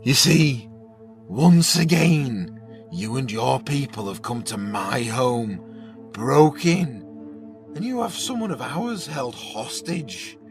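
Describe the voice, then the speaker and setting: A man playing a smug, menacing gang boss in a zombie apocalypse audio drama, taunting with false politeness. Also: mid-range voice. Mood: cold menace. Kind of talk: acted audio drama dialogue.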